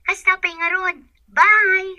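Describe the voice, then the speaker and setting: Chatty young female friend played by a woman, extremely high pitch, bright piercing tone, Ilocano accent, sympathetic, drama acting. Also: steady tone, slow pace, full character voice. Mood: sympathetic gossip.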